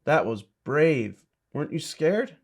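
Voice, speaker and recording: A man's voice: on the high side, very animated, takes it slowly, breathy edge, exaggerated voice. A man voicing an adventurous, kindly young male penguin from a children's storybook. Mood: amazed, reassuring.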